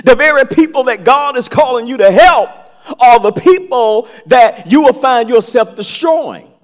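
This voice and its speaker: High voiced man preaching slow, dramatic pauses, big echoey sanctuary. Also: lively intonation. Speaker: pastor. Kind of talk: sermon. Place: large church sanctuary.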